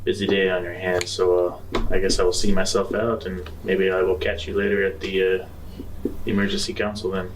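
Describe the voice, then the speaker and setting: A man's voice: low voice, completely flat delivery, brisk, close to an everyday voice. A man playing a wry, skeptical space adventurer with a hesitant, dry drawl, recorded on a distant mic. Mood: polite, hesitant. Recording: distant mic, clear background noise.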